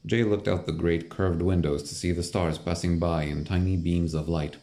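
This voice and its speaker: A man's voice: deep voice, steady tone, moderate pace. A man reading scripted third-person narration for an audio drama, as a storyteller. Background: music.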